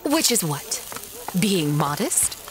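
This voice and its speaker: A woman's voice: deep voice, big pitch swings, slow, noisy background, heavily acted. An actress playing a stern, bossy woman who runs an expedition in an audio drama, clipped, formal and exasperated. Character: stern, bossy female expedition boss, stickler for protocol. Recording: loud cinematic music behind the voice.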